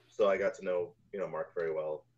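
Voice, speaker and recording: A deep-voiced man in his forties talks casually as an interview guest. He recounts things in a halting, easygoing conversational way. The sound is slightly dull, like a video call from a room at home. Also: steady tone. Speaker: guest, composer.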